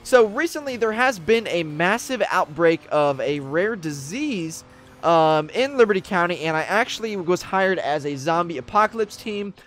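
High-voiced upbeat male gaming streamer. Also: lively intonation, normal speed. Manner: upbeat, shouts commands in roleplay. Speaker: gaming YouTuber. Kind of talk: roleplay gameplay commentary. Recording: faint background music.

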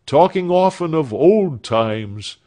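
A man's voice: on the high side, lively intonation, slow pace, dark tone, voice pushed up. A man playing an earnest young man bidding a heartfelt farewell to those he loves. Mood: fervent. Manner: fervent, heartfelt. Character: earnest young man, devoted lover departing.

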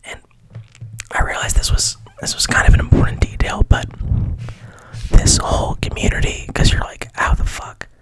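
A man whispering an ASMR retelling of a film plot into a mic, rambling and deadpan, with constant swearing. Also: moderate pace, pauses often, low-quality recording. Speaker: ASMR host. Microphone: studio mic.

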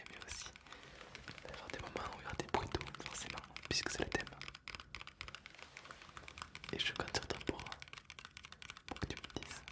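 A man in his twenties presenting softly as an ASMR creator. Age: 20s. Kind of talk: whispered ASMR.

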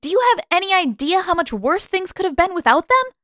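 A woman as an indignant teenage girl, pitched high. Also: lively intonation, fairly quick, dry recording, studio quality. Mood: sharp, indignant. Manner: sharp, accusing, defiant.

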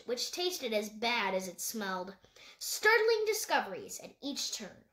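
High-pitched female storybook reader, roomy sound. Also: fairly bright tone. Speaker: audiobook reader. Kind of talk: scripted storybook narration.

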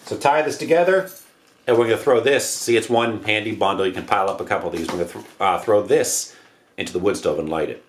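Deep-voiced American man, casual how-to talk. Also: fast talker. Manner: offhand, slangy, rambling. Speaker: DIY homesteading presenter. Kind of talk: casual hands-on how-to demonstration. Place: indoors, living room by wood stove. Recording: roomy echo, noise of handled objects.

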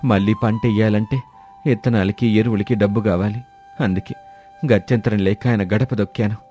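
Man acting a low, mellow, despondent village farmer. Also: steady tone, moderate pace, faint music behind, natural voice. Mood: regretful. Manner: gloomy, resigned, lamenting. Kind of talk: dramatized Telugu moral story.

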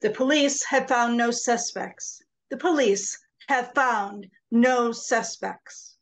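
Very slow, high-voiced older woman reading poetry. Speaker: poet. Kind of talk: poetry reading, measured and dramatic. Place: small home room with bookshelves. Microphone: computer mic on a video call.

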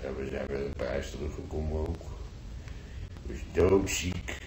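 An actor playing a nostalgic, gruff old war veteran grandfather in a radio drama, telling his memories haltingly, repeating himself in earthy colloquial words. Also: very deep voice, darkish tone. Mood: defiant. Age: older. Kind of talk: radio drama acting, reminiscing monologue. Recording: roomy old radio play, faint noise.

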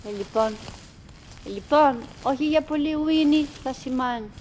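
An actress playing a wistful, chattering middle-aged wife in a theatrical radio monologue, in broken, repetitive phrases with dramatic pauses. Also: female voice, sits high, darkish tone, slight background noise, lightly acted. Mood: weary, musing. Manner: fragmented, repetitive, dramatic pauses with sudden swells.

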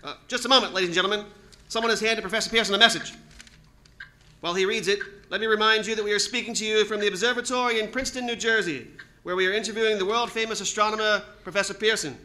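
A man's voice: high voice, fairly even intonation, fast, sharp tone. A man playing an eager, formal 1930s radio news commentator in a live radio drama, with emphatic announcer delivery. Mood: urgent, formal. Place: staged performance in a planetarium dome.

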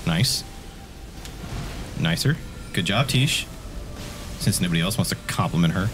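A man bantering casually as he comments on a friend's video game, joking and swearing freely in an excitable way. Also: low voice, a little slow. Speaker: co-host commenting on a friend's gameplay. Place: indoor room, webcam setup beside another player. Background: game music.

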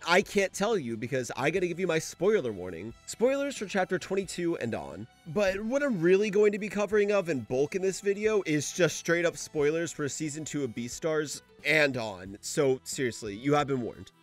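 A man hosts a video essay in an informal, conversational way. His voice sits a little high and moves up and down a lot as he reads casual commentary, with music underneath. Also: very animated, normal speed, few pauses. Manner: informal, conversational, mildly sweary. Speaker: video essayist, series host.

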